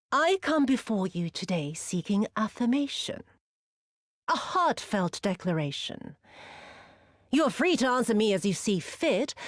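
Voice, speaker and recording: A cruel imperial viceroy woman in a video game, theatrical and haughty. Her high voice swings widely as she drawls with mocking sweetness, recorded close and dry. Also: dry recording. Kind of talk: video game cutscene acting.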